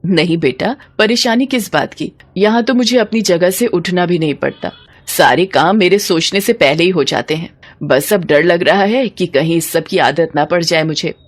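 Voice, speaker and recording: A woman voicing a gentle, humble older village mother who sounds quietly worried, in a story. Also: on the low side, moderate pace, lightly acted. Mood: meek, reassuring.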